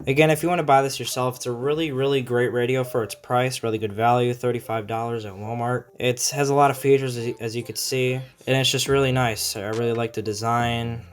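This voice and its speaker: A man's voice: medium pitch, steady tone, brisk, hardly any pauses. Casual man reviewing a gadget. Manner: informal, explanatory. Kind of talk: casual hands-on product review.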